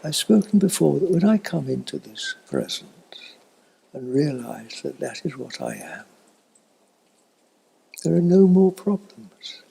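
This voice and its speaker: An elderly man giving a slow, reflective spiritual talk in a husky, breathy voice that often fades toward a whisper, with long thoughtful pauses. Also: a little high, animated, dark tone, slight background noise, rough recording. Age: older, elderly. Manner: contemplative, halting with long pauses. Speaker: spiritual teacher.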